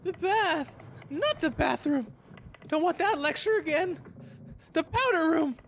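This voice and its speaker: A woman's voice: extremely high pitch, animated, unhurried, a little hiss or hum, full character voice. A woman playing a panicky, anxious sailor man in an audio drama, frantic and whiny. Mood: exasperated.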